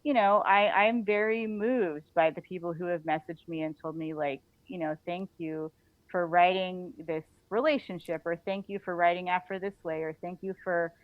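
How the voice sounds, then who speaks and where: A woman speaking as a guest in a casual interview, informal and halting, often chuckling as she talks. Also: fairly low pitch, steady tone, no echo, phone-quality sound. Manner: chuckles often while talking; informal, halting, frequent "like" and "you know".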